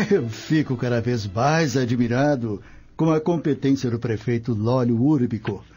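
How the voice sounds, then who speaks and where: A man playing a genial, pompous aging Roman patrician in a radio drama, speaking in a measured way. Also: mid-range voice, a little mellow, husky, dull audio, faint music behind. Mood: admiring, knowing.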